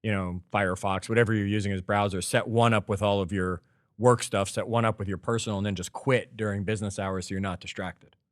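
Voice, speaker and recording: Male co-host, very brisk pace, casual conversational delivery, close dry recording. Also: on the low side, steady tone, no echo. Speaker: podcast co-host. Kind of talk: casual discussion, prompting the host.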